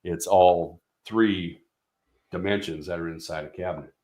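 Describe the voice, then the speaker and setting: A man's voice: deep voice, steady tone, a little slow, a little mellow, echoey room. A man representing a product company, explaining speaker design in a casual technical walkthrough with many fillers and restarts.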